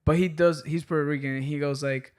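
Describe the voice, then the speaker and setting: A man's voice: on the high side, steady tone, fast talker, a little mellow, smooth. A man in his twenties from Ecuador with a Miami accent, talking emphatically and swearing freely in a casual podcast chat. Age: 20s. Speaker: band member.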